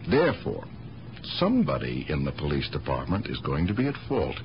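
An actor playing an exasperated police detective and devoted nephew, blunt, wry and pleading, in the style of 1970s radio drama. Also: male voice, rumbling low, huge pitch swings, fairly quick, full character voice. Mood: exasperated, urgent. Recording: dull, noisy 1970s radio broadcast recording.

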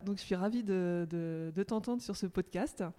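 A woman hosting a podcast, reading a scripted introduction and then interviewing a guest in a relaxed way with many fillers. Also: mid-range voice, moderate pace. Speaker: podcast host.

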